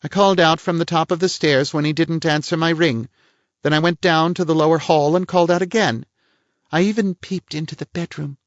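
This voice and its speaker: A man's voice: fairly even intonation, a little fast, no echo, natural voice. A man voicing a haughty, theatrical middle-aged woman, huffy and affected in manner. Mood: defensive. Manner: shrill, huffy, affected gentility. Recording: dull lo-fi, dry.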